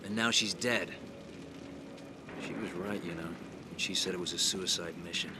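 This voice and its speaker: Actor as weary, determined resistance soldier. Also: male voice, medium pitch, normal speed, background noise, natural voice. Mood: somber. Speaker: resistance fighter. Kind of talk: video game voice acting.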